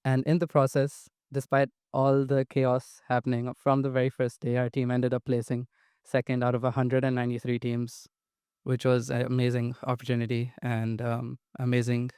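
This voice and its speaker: Teen guy from the uae, keen, flat as anything, clean dry audio. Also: medium pitch, normal speed, smooth, dry recording. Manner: eager, repeats "amazing". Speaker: student panelist. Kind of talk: answering panel questions.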